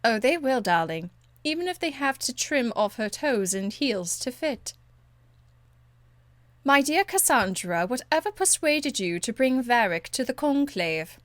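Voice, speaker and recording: A woman's voice: fairly high pitch, very animated, a little slow, no echo. Woman playing a haughty, silky court enchantress. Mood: poised condescension. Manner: haughty, polished, drawling endearments. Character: proud, elegant, condescending court enchantress woman. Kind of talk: video game dialogue acting.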